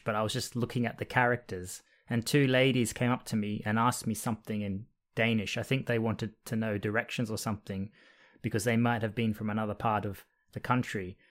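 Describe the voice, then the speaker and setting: Man, Australian accent, softened by travel, interview host, measured, friendly questions, video call. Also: mid-range voice. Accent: mixed Australian. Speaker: podcast host.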